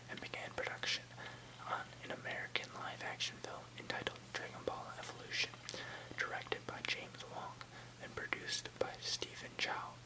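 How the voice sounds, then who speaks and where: An ASMR host reading an article aloud in a soft, breathy, unvoiced whisper, with the odd stumble over words. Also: low-quality recording. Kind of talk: whispered ASMR reading with brief casual asides.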